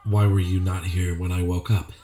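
A man's voice: deep voice, level tone, normal speed, mellow, soft background music, lightly acted. A man playing a confused, suspicious amnesiac in a film noir audio drama, terse and agitated, both narrating and speaking in scenes. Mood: curt, weary. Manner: terse, agitated, muttering to himself.

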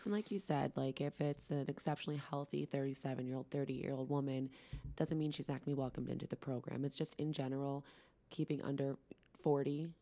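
A woman co-hosting a podcast, asking relaxed questions in casual chat. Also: rumbling low, brisk.

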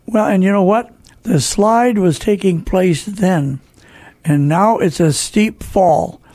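A man's voice: high voice, slow, a little mellow, no room sound. An older American man hosting a talk show, earnestly exhorting listeners in a conversational preaching style with repeated phrases.

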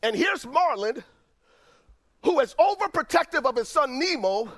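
A middle-aged man with a Liberian accent, preaching a sermon as pastor, swinging from near-shouts down to low, quiet lines. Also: sing-song, unhurried. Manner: preaching with dramatic swings, rising to shouts then dropping low.

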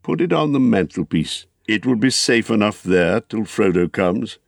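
Man playing a firm, creaky old wizard. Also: fairly low pitch, animated, no room sound, clean studio sound. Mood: commanding. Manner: firm, measured commands. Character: old grey wizard, stern, commanding, kindly protective.

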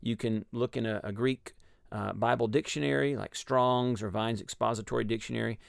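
A man with a Texas accent explaining a Bible passage in a measured, teaching way on a radio discussion. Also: mid-range voice, steady tone, creaky, no echo, clean studio sound. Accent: Texan. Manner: measured, explanatory, with frequent ums and uhs.

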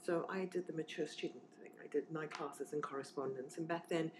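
A woman's voice: sits low, brisk, darkish tone, a little hiss or hum, poor audio. A woman in her forties from southern Africa, talking casually and reflectively as an interview guest, with plenty of fillers and restarts. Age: 40s.

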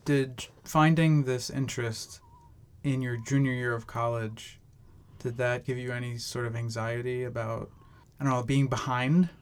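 A man's voice: medium pitch, steady tone, measured pace, clear, resonant tone. Man asking loose interview questions. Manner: loose, hesitant with fillers. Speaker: podcast co-host, interviewer.

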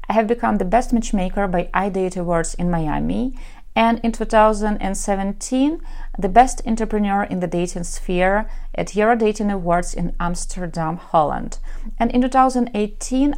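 A woman in her thirties from Ukraine hosts her own podcast as a matchmaker. She talks with confidence and a dry, frank edge, in a voice of middling pitch. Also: a little mellow. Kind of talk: solo podcast monologue.

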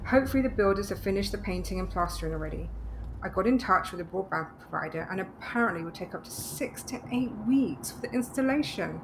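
A woman's voice: mid-range voice, expressive, quick pace, lightly acted. A woman playing a practical, dryly teasing wife in a naturalistic audio drama. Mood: reassuring. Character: practical, teasing, reassuring wife moving to the countryside. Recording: clearly noisy background ambience.

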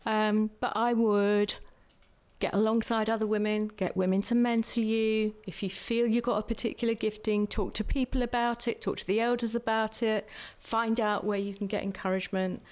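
Woman, church panel guest, earnest and measured, mid-range voice. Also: mid-range voice, flat delivery, darkish tone. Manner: earnest, measured, with many pauses and fillers. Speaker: church speaker who prophesies.

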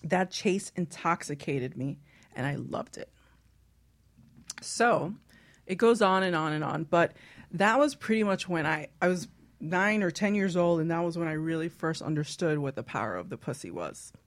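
A woman hosting a casual radio talk show, playful and quick to laugh, reading a short excerpt aloud. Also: low-pitched, lively intonation. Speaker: host.

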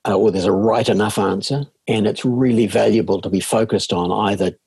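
A middle-aged man with a New Zealand accent, a guest on a video-call interview, answering in a measured way with frequent fillers and restarts. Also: fairly low pitch, creaky, darkish tone, breathy edge, no room sound. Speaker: guest, leadership consultancy chair. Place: home in a village.

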